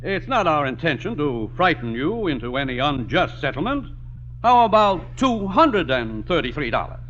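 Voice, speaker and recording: An old, slightly hissy radio comedy recording. an officious insurance man, formal and businesslike. His voice is forceful and swings widely in pitch. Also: very animated, normal speed, lo-fi sound. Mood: formal, conciliatory. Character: officious, brisk American insurance claims man. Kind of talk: 1950s radio comedy acting.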